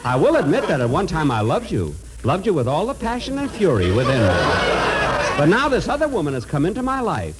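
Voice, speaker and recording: Man acting hammy melodrama lover, wildly swooping pitch. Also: wildly swooping pitch, brisk, crowd noise. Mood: pompous confession. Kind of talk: 1940s radio comedy acting. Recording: noisy 1940s radio before live audience.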